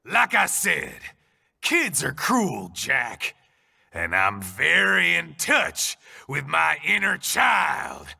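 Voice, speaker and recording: An actor playing a sadistic, warmongering cyborg mercenary in a game cutscene, gravelly, taunting and theatrical. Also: male voice, a little high, wildly swooping pitch, very slow, full character voice. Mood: sadistic glee. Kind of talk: video game cutscene acting. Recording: long hollow reverb.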